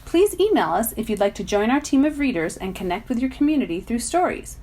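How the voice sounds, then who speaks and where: A woman reading a children's novel aloud as a volunteer, in expressive narration. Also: a little high, fairly quick. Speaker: volunteer story reader.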